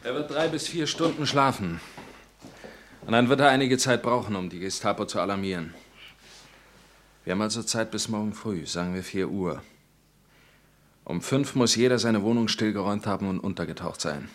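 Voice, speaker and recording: An actor playing a calm resistance leader in a radio play, giving firm, decisive orders. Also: male voice, lowish voice, normal speed, pauses often, lightly acted.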